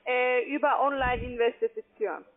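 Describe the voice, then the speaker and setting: A woman with a foreign accent giving a halting, formulaic sales pitch as a call centre agent. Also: steady tone. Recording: tinny narrow-band phone line.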